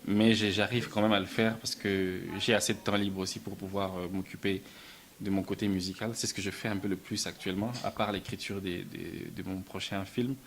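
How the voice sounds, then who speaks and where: A man from Belgium answering interview questions about his music and films, soft-spoken, often stumbling and repeating words. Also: low voice, flat delivery, fairly quick. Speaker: musician and film writer, interview guest.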